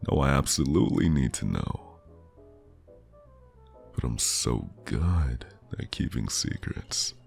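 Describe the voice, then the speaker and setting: A man acting as a flirtatious male stranger charming someone in an ASMR romance roleplay. His voice is extremely low and heavily fried, drawn out slowly and teasingly. He sounds smooth-talking and intimate. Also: exaggerated voice. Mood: teasing, flirty. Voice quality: deep, gravelly.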